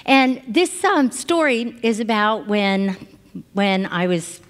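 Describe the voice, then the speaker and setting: A middle-aged American woman giving an informal, conversational sermon as a reverend, teaching in an animated way and often checking in with her listeners. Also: mid-range voice, slow pace. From Hawaii, United States. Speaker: reverend giving a Sunday talk. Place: large indoor spiritual center, speaking to a congregation. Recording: reverberant hall sound.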